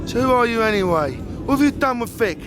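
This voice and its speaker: A man's voice: very high-pitched, animated, a little fast, over music. A man acting a suspicious, edgy gang member in a comedy radio play.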